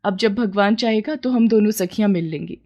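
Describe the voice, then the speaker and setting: A woman's voice: mid-range voice, brisk, darkish tone, close to an everyday voice. Woman acting a yearning, self-justifying village woman. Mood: affectionate. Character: childless village woman, yearning, scheming, defensive new mother. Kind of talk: dramatized Hindi moral story.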